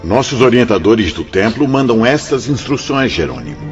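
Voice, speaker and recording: An actor playing a benevolent, devout spirit director in a radio drama, with formal, ceremonious delivery. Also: male voice, mid-range voice, very animated, moderate pace, lightly acted. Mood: solemn announcement. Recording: muffled lo-fi audio with music. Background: background music.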